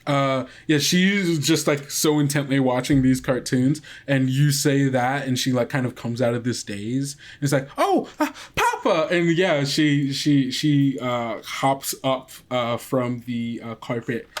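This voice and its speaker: Conversational man narrating as game master. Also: medium pitch. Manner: halting, conversational narration with repeated phrases. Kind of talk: improvised narration of a tabletop role-playing game.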